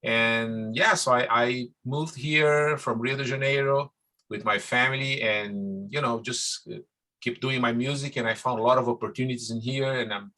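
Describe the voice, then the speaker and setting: A middle-aged Brazilian man with a Brazilian accent, a musician guest speaking Portuguese-native English in a rambling, warm way with many restarts. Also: mid-range voice, steady tone, moderate pace. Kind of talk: casual interview.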